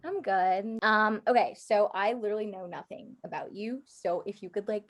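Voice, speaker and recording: A woman's voice: mid-range voice, normal speed. A woman, chatting informally on a video call and often saying "like". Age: early 20s. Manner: informal, peppered with "like". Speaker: host and contestant of a dating show. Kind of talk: casual chat on a video call. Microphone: video call microphone.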